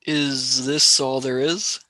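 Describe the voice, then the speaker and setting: A woman's voice: rumbling low, fairly even intonation, takes it slowly, pushed and bright, no room sound, full character voice. A performer playing a wry, sardonic young male space traveller who turns sarcastic and wheedling in a radio play.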